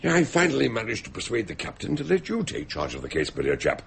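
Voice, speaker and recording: An actor playing a genial, eccentric gentleman detective in a radio drama, with old-fashioned, hesitant phrasing. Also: fairly low pitch, wildly swooping pitch, fast talker, gravelly, breathy edge, exaggerated voice. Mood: genial, explanatory.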